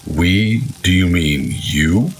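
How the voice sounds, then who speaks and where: An actor playing a somber, guilt-ridden older brother and military veteran in a gritty crime audio drama. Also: male voice, low voice, lively intonation, slow, gravelly, faint music behind, exaggerated voice. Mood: somber, uneasy.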